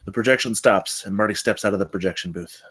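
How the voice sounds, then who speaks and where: A man's voice: lowish voice, quick pace, fairly bright tone, slightly rough. A man reading stage directions as the narrator of a screenplay table read.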